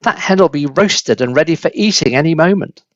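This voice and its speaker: A man's voice: fairly high pitch, animated, moderate pace, no echo, muffled recording, pitched above the performer's own voice, close to an everyday voice. Man putting on a strident, cantankerous old grandmother. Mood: gleeful yelling. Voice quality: shouting, strident. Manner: scolding, mocking.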